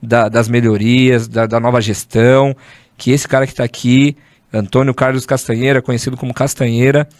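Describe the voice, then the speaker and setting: Chummy podcast host, dry sound. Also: male voice, medium pitch, dry recording. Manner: enthusiastic, chummy introductions. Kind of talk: podcast interview hosting. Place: podcast studio.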